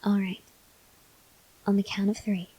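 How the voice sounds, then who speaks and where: A woman playing a gentle, awkward, hungry female vampire in an ASMR roleplay, speaking softly and hesitantly with breathy near-whispers and tender reassurance. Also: medium pitch, little pitch movement, a little slow. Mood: careful, tender. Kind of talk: ASMR roleplay, intimate address to the listener.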